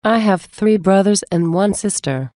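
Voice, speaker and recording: A woman reading English example phrases for a language lesson, saying many of them twice in a measured way. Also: medium pitch, expressive, dark tone, dry recording, clean studio sound. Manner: measured, repeats each phrase slowly. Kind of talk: slow scripted phrase reading.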